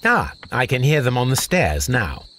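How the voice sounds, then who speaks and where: An actor playing a brilliant, eccentric Victorian English detective, formal, precise and dryly teasing, in a video game. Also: male voice, medium pitch, huge pitch swings, normal speed, fairly bright tone, slight background noise. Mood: wryly amused.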